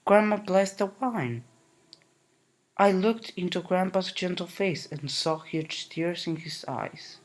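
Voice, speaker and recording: A man reading a children's picture book aloud in a gentle, unhurried way. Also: high-pitched. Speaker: narrator. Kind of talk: storybook reading.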